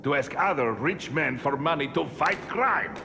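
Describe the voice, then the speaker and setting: A man playing a scornful, gossiping gangster henchman in a video game, with a sardonic, drawn-out delivery over loud background noise. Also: a little high, animated. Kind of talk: video game cutscene acting. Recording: loud ambient noise behind.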